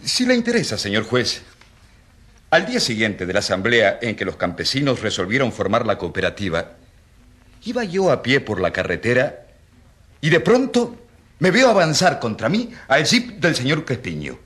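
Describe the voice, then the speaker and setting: An actor playing an ironic, defiant rural priest, eloquent and emphatic, in a radio drama. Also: male voice, mid-range voice, wildly swooping pitch, moderate pace, a bit bright. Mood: ironic, accusing.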